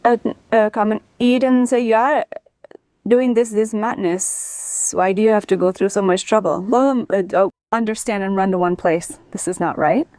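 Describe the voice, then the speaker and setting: A woman's voice: medium pitch, steady tone, normal speed, a little mellow, no echo. A woman, an interview guest telling personal stories and acting out quoted family dialogue as she goes. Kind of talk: personal storytelling.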